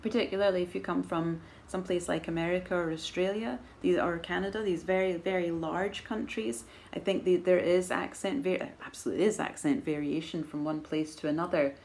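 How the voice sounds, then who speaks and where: A woman in her forties with a soft Edinburgh Scottish accent, chatting to camera at home and answering viewer questions in a thoughtful, measured way with gentle laughs. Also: on the low side, steady tone, gravelly. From Scotland. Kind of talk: casual chat to camera. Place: living room at home, indoors. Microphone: camera in front at arm's length.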